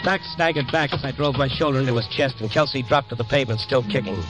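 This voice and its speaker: A man's voice: medium pitch, quick pace, loud music behind the voice, lightly acted. An American man playing a hard-boiled but sympathetic night-beat reporter, telling his story dramatically in vintage radio style. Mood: brooding narration.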